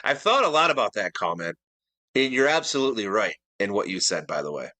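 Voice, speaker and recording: Easygoing podcast guy, bright forceful voice, dead dry sound, kinda rambly. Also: lowish voice, sing-song, a little fast, dry recording. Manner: easygoing, asks questions, rambling. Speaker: podcast co-host. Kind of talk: casual chat.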